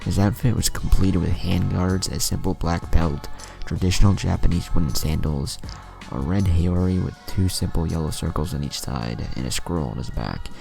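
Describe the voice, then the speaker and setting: A man's voice: sits low, normal speed, vocal fry, mellow. A man narrating a scripted fan-fiction story, with music behind his voice. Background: music under the voice.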